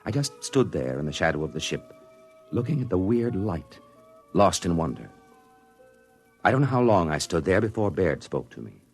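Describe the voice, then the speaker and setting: An actor playing a thoughtful male astronaut who narrates his moon landing in a 1950s radio drama, earnest and halting. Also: deep voice, sing-song, a little mellow, breathy edge, faint music behind, full character voice. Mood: wistful. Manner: earnest, reflective, halting with small stammers. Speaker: narrator and crewman.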